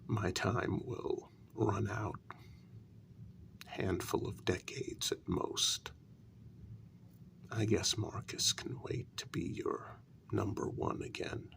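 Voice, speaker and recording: A man performs a devoted, reasoning human consort of a vampire queen in an intimate ASMR role-play. His lowish voice is thick with gravelly creak and often drops to a breathy half-whisper. He takes his time, placing each phrase deliberately with lingering pauses. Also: steady tone, fairly bright tone, full character voice. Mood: tender, resigned.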